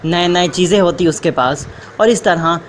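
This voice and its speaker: A woman's voice: on the low side, moderate pace, slight background noise. A woman narrating a scripted children's story.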